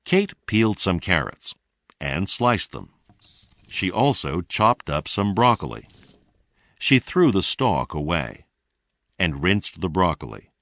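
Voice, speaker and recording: Deep, unhurried man narrating a lesson. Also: sing-song, a bit bright, no room sound. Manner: deliberate, clearly enunciated teaching delivery. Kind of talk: scripted read-along narration.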